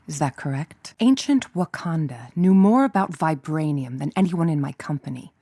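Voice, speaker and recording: A woman's voice: on the low side, a little mellow, no room sound, studio quality. An actress playing a cold, calculating female villain scientist in a video game, speaking with clipped, precise authority. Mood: icy displeasure. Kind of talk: dramatic video game voice acting.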